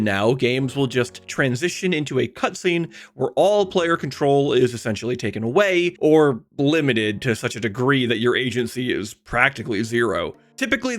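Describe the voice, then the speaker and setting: Man, video essay narrator, expressive pitch, conversational, wry, faint music behind.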